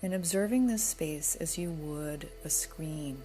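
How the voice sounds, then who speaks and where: A woman with a low voice leads a guided relaxation. Her delivery is gentle and very slow, softening at times into breath. Quiet music with singing bowl tones plays behind her. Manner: soothing, gentle, unhurried with soft breathy moments. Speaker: yoga nidra guide.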